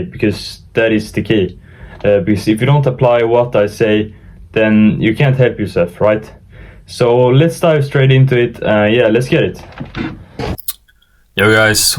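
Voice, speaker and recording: A seventeen-year-old man from Sweden with a Swedish accent, giving an informal tutorial in English as a second language, with hesitant phrasing and frequent filler pauses. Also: on the low side. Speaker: online business course instructor.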